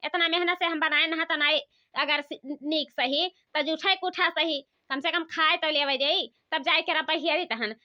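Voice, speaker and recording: A woman playing a sharp-tongued, put-upon village daughter-in-law in a comic cartoon, nagging in rustic talk with an Awadhi accent. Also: high-pitched. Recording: dull lo-fi sound.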